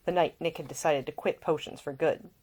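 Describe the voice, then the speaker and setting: A woman's voice: sits low, steady tone, fairly quick, a little mellow. A woman author presenting and reading her own fiction at home in an informal storytelling style. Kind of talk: informal introduction, then expressive audiobook narration.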